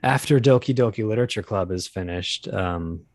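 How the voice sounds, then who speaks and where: A man speaking casually as an interview guest, talking about his creative work in a halting, think-aloud way. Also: fairly low pitch, a little mellow, no echo. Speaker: guest, audio drama producer and voice actor.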